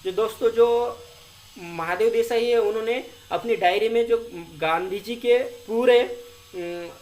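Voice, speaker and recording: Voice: mid-range voice, takes it slowly, a little mellow, rough recording. A presenter reads notes aloud as an informal explainer, repeating and rephrasing points and often addressing listeners as friends. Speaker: educational video presenter.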